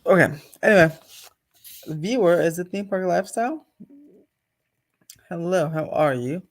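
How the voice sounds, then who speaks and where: A man's voice: high voice, unhurried. A man hosting a casual livestream, chatting off the cuff and addressing viewers. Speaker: channel host.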